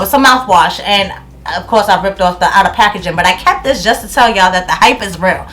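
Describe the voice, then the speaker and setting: A woman's voice: medium pitch, fairly bright tone. A woman in her thirties from New York with a New York accent, chatting casually through a product review at home and laughing often. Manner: chatty, laughs often, loses words and restarts. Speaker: beauty vlogger. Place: home room, indoors.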